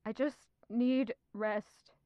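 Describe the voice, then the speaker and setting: A woman's voice: on the high side, fairly even intonation, extremely slow, no room sound, lo-fi sound. An actress in an audio drama playing a guarded, evasive young noblewoman who claims to be unwell, answering reluctantly. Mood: irritated.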